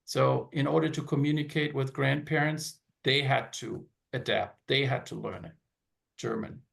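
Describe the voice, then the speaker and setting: A man's voice: medium pitch, fairly even intonation, moderate pace, creaky, a bit bright. A man talking as a panel guest, hesitant and full of fillers and restarts. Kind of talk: casual panel chat.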